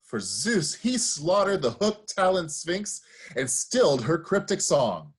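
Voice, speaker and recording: Husky, swooping chorus elder played by a man. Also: a little high, sing-song, takes it slowly, exaggerated voice. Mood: reverent. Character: awed, grieving elder of the tragic chorus. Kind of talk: solemn theatrical verse reading.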